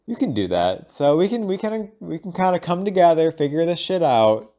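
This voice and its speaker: A man thinking aloud in a casual, rambling audio log, halting and informal, sometimes voicing imagined lines of dialogue. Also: a little high, very animated, warm tone. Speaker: solo audio log host.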